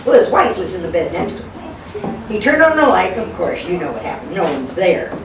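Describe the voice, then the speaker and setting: A woman's voice: fairly low pitch, sing-song, moderate pace, noisy background. An older woman giving a live storytelling talk in a folksy, conversational way, acting out the dialogue as a local historian would. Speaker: guest speaker, local historian. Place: indoor talk at a historic log cabin. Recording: roomy, distant microphone, music behind.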